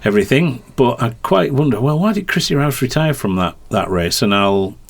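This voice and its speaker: A man's voice: medium pitch, lively intonation, normal speed. A middle-aged man with a light Yorkshire twang, a racing commentator explaining at length in a rambling, hesitant way. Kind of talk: podcast conversation.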